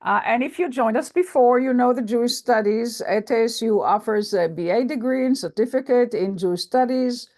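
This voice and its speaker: An older woman with an Israeli accent giving an academic lecture on a video call, speaking in a measured, halting way with many fillers and restarts. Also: fairly high pitch, darkish tone.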